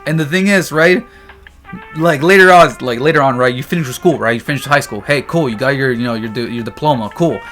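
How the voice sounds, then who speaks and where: A young man from Texas talks to camera in a loose, slangy, joking way, with a mid-pitched voice. Faint guitar music plays underneath. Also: fairly quick, fairly bright tone. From Texas, United States. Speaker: vlogger. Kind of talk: casual comedic chat.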